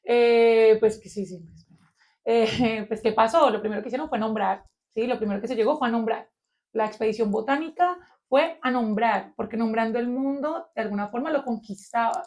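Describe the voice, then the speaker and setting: A woman with a Colombian accent and a fairly high voice co-hosts a round-table on philosophy. She talks in a lively, searching way, thinking aloud and reaching for her ideas with plenty of fillers. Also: moderate pace. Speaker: podcast co-host.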